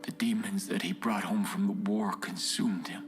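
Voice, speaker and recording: An actor playing a solemn, fervent cult leader in a game, preaching to his followers in a measured way. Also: deep voice, steady tone. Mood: solemn grief. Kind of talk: video game cutscene sermon. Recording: music behind the voice.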